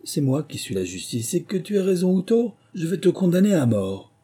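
A man's voice: fairly low pitch, a little slow, mellow, husky. A man voicing a malicious storybook dog who sets himself up as judge, sly and commanding. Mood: menacing.

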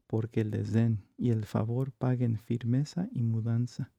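A man performing a theatrical verse monologue as a jealous, lovestruck noblewoman from classical comedy, in measured, declamatory phrases. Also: mid-range voice, steady tone, gravelly, warm tone, no room sound. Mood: anguished, resolute.

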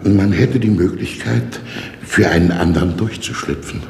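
Very deep, gravelly, courteous jailer. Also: male voice, normal speed. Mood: probing. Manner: courteous, dry, matter-of-fact. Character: male novice prison jailer, polite, curious, coolly sardonic. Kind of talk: 1960s German radio drama acting. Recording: echoey, reverberant, noisy old radio recording.

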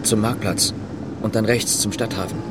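A man's voice: lowish voice, fairly even intonation, moderate pace, close to an everyday voice. Man playing weary cop on the run, radio drama, keeps it short, car noise behind him. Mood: guarded. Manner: terse, reticent. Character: guarded, weary East German policeman on the run. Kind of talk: naturalistic radio drama acting. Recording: clear vehicle and outdoor ambience noise.

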